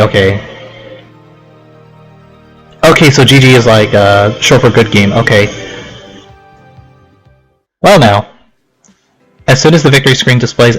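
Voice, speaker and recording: A man's voice: medium pitch, low-quality recording. A man doing casual let's play commentary, reading game text aloud and rambling through puzzled reactions. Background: game music.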